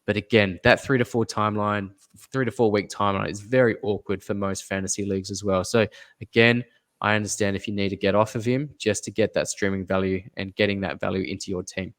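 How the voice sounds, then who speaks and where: Low-voiced man analyzing fantasy basketball. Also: fairly even intonation. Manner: measured, repeats advice, thinks aloud with fillers. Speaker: fantasy basketball podcast co-host. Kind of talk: casual podcast analysis.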